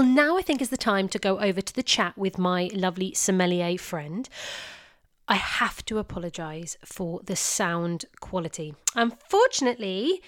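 Woman, podcast host, medium pitch, chatty, informal, enthusiastic delivery. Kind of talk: wine podcast narration and chat.

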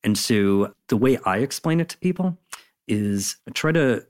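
A man's voice: medium pitch, expressive, measured pace. A man speaking as an interview guest, explaining things in an enthusiastic, conversational and emphatic way. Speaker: interview guest, content designer.

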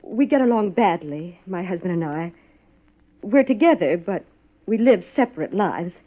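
A woman's voice: on the low side, lively intonation, normal speed. An actress playing an anxious, guarded married woman in a 1950s radio detective drama, speaking formally and dramatically. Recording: muffled old radio recording.

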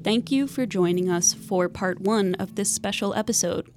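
A woman's voice: medium pitch, normal speed, noisy background. A young woman from the United States hosting and producing a university podcast, reading credits and chatting with a co-host. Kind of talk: casual chat and scripted outro.

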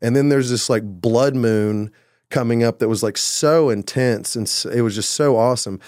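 Brief: laid-back male musician telling stories, meandering delivery, mid-pitched voice, dry close sound. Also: normal speed, darkish tone, dry recording. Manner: rambling, loose, full of "like" and "you know". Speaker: musician guest. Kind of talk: casual conversation.

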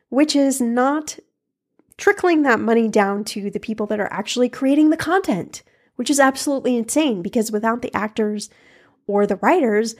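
Hoarse, froggy-voiced woman host. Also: a little high, darkish tone. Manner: chatty, laughs between points. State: hoarse from a cold. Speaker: podcast host. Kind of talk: casual solo podcast talk.

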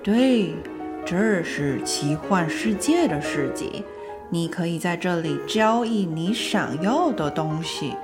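A woman's voice: lowish voice, expressive, takes it slowly, loud music behind the voice, voice pushed down. A woman voicing a chatty little dwarf guide in a children's audio storybook, explaining things in a knowing, teasing way. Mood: explaining, persuasive.

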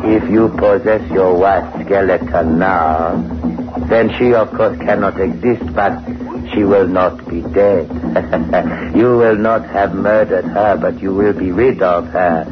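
An actor playing a calm, cunning supernatural fixer in a radio horror play, speaking in formal, ominous phrases. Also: male voice, sits low, takes it slowly. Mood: sly, knowing. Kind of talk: 1960s radio horror acting. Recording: muffled old radio recording, loud music.

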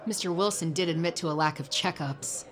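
A woman's voice: lowish voice, moderate pace, lightly acted. A woman playing a dry, sardonic surveillance agent in an audio drama.

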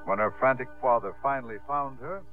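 An actor playing a reminiscing theatre manager, narrating a 1950s radio drama. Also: male voice, medium pitch, fairly quick, natural voice. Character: storytelling former theatre manager, reminiscing warmly. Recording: muffled 1950s radio broadcast over music.

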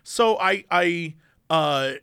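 High-voiced man telling a lively story. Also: drawn-out words, gravelly, smooth, dry recording, clean studio sound. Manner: animated storytelling, acting out other people in a raised voice. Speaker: podcast co-host. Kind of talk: casual chat.

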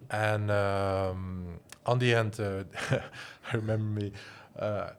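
A man's voice: fairly low pitch, little pitch movement, slow. A man in his forties with a Flemish accent, a Dutch speaker talking about his career in an interview, hesitant and full of restarts. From Flanders, Belgium. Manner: hesitant, many restarts and fillers. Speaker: guest, design school director and musician. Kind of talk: casual interview talk.

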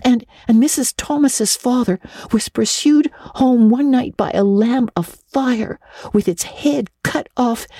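An imaginative young girl from a classic storybook, pitched high and swinging widely. She is excitable and easily frightened, speaking with breathless, dramatic earnestness in a soft-edged tone. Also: no room sound, clean studio sound.

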